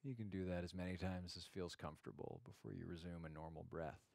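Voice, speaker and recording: A man leading an informal guided meditation in a gentle, reflective way, speaking haltingly with long pauses. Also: low-pitched, fairly even intonation, gravelly, slight background noise.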